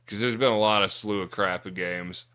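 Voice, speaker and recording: A man's voice: lowish voice, fairly even intonation, normal speed, sharp tone, smooth. A man hosting a casual pop culture podcast chat, blunt and opinionated, swearing freely. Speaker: podcast host.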